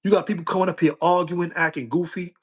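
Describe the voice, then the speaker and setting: Street-talking man, higher voice. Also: fairly even intonation, quick pace, husky. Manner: street slang, loops back with "you know what I'm saying". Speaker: social media streamer. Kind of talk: casual livestream talk.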